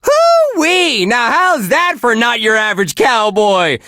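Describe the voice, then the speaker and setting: An actor playing a relentlessly cheerful, wholesome radio DJ in a video game, gushing with folksy exclamations. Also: male voice, extremely high pitch, big pitch swings, slow, sharp tone, dry recording, clean studio sound, full character voice. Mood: upbeat, chipper. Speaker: radio DJ host.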